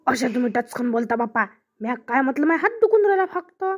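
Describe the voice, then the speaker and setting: Cartoon farm woman, played by a woman: high voice, Varhadi Marathi accent, plaintive and rustic, slightly muffled lo-fi sound. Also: big pitch swings, fast. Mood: defensive. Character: grumbling, easygoing village woman picking cotton. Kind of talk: comic cartoon dialogue.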